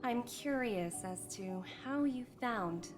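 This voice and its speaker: A woman's voice: fairly high pitch, lively intonation, slow pace, music in the background. An actress playing a composed businesswoman in a video game, formal and inquisitive. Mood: probing. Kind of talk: scripted game dialogue.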